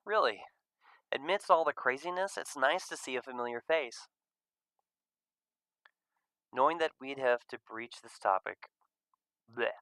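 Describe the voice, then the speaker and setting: A man reads first-person visual novel narration. His delivery is expressive with a lively range, and the voice is clean and resonant in a very dry recording. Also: medium pitch, no echo.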